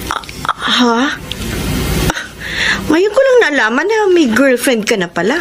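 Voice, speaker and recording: A Filipino actress playing a friendly, inquisitive neighbourhood storekeeper in a radio drama. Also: female voice, high voice, sing-song, slow pace, music in the background, exaggerated voice. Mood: friendly, curious. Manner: casual, inquisitive. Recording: noisy radio drama with background ambience.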